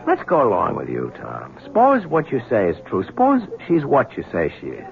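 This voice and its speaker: An actor playing a steady, reassuring male newspaper reporter in a 1970s radio drama. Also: fairly low pitch, normal speed, creaky, heavily acted. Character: earnest male newspaper reporter, calm and stubborn. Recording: muffled old radio recording.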